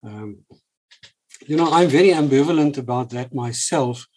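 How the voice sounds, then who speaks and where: Man, mellow warm voice, explanatory. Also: medium pitch, normal speed, a little hiss or hum, poor audio. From South Africa. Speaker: panelist, braille expert.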